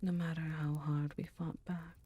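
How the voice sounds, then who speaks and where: A woman voicing an ancient succubus queen, tender and soothing, in a soft, breathy, intimate ASMR roleplay. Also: low-pitched, level tone. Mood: wistful storytelling. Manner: slow, intimate, reassuring.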